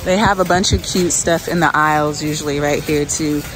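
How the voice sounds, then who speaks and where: A woman's voice: sits low, fairly even intonation, normal speed, music in the background, low-quality recording. A woman chatting informally as she narrates her shopping, recorded on a handheld phone inside a large grocery store. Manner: informal, conversational. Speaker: shopping vlogger. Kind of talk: casual shopping chat, narrating as she goes. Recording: clear store ambience noise behind voice. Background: supermarket background noise.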